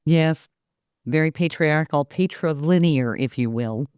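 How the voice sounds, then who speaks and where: A woman's voice: sits low, measured pace, a little mellow, clean tone, no echo, clean studio sound. A woman hosting an interview, asking questions and answering with upbeat affirmations. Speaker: host.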